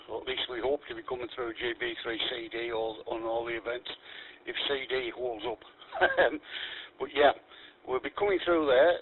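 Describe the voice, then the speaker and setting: A man's voice: on the high side, gravelly. A man from the UK giving an event rundown on an amateur radio net, spelling call signs phonetically. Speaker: event organiser. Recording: phone-like radio audio, faint hiss.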